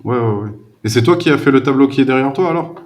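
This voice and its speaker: A man's voice: mid-range voice, fast. A man working as a French conversation tutor on a video call, talking casually with an easy, joking and encouraging manner.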